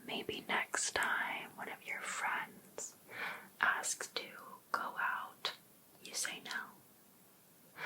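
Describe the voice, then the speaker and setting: Woman playing a slow-whispering, possessive female life coach. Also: poor audio. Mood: coaxing. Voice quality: breathy unvoiced whisper. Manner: coaxing, deliberate, insistent. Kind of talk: ASMR roleplay.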